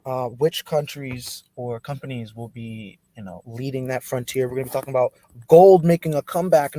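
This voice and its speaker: Energetic male stream host. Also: mid-range voice, no echo. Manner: energetic, emphatic, swings between loud and soft. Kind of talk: livestream podcast hosting.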